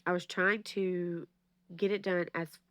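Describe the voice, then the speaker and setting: A woman's voice: on the low side, flat delivery, measured pace, clear, resonant tone, dry recording. A woman, chatting and rambling to her viewers while she plans, her voice a little nasal and stuffy from allergies. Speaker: planner vlogger. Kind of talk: casual rambling chat to camera.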